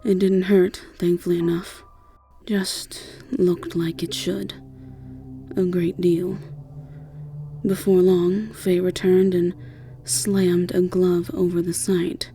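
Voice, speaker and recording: A woman's voice: fairly low pitch, level tone, takes it slowly, dark tone, soft background music. A woman with an English accent narrating a scripted second-person story. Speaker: narrator and voice actor.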